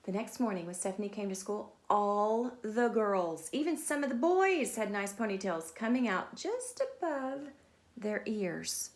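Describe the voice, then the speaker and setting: A woman reading a children's story aloud like a teacher, in an animated storytelling style. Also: medium pitch, unhurried. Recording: echoey, roomy sound.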